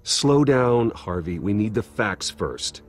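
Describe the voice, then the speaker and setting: A man's voice: lowish voice, animated, slow pace, soft background music. A man playing a grave, guarded hero in a video game, speaking in a terse, controlled manner. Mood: subdued, measured. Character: grave, guarded male vigilante hero in his prime.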